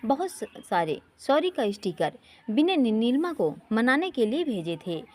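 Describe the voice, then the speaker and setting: A woman storyteller with a fairly high, lively voice reads a scripted romantic family story. Her pitch moves around expressively, and she occasionally trips on a word and corrects herself. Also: a little slow. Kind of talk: scripted narration of an emotional Hindi love story.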